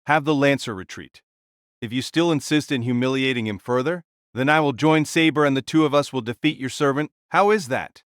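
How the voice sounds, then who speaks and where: A man voicing a boisterous, carefree warrior king who makes loud, hearty proclamations. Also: mid-range voice, a little fast, no echo, studio quality, close to an everyday voice. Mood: challenging. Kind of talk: audiobook character voice.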